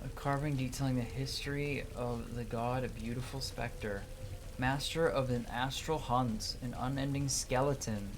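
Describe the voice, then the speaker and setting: A person with a mid-pitched voice playing a video game and reading it aloud with casual, theatrical commentary. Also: steady tone, moderate pace. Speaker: let's player reading game dialogue aloud. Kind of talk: gameplay commentary and character voicing. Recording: clearly noisy background.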